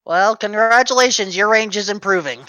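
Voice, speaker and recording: A woman's voice: mid-range voice, a little fast, sharp tone, dry recording, soft background music, low-quality recording. A woman doing casual, playful commentary on a video game race, explaining the game's logic and teasing her co-commentator. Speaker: speedrun commentator.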